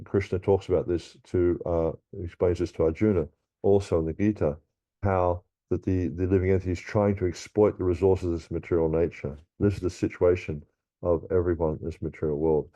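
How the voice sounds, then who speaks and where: A man's voice: low-pitched, mellow. A man giving a scripture class, reading verses aloud and explaining them with frequent restarts and repeated words.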